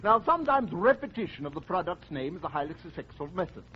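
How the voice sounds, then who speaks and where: An actor playing a pompous, easily flustered advertising executive in a 1940s radio comedy. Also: male voice, high-pitched, very animated, fast talker. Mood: self-important. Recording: muffled, noisy 1940s radio, studio audience.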